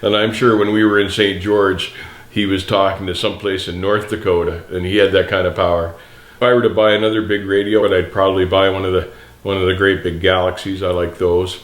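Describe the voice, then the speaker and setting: A man's voice: low-pitched, flat delivery, a little fast. A middle-aged man, a veteran trucker, reminiscing casually and nostalgically to viewers in a plain-spoken, folksy way. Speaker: veteran trucker and video host. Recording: roomy, echoey sound.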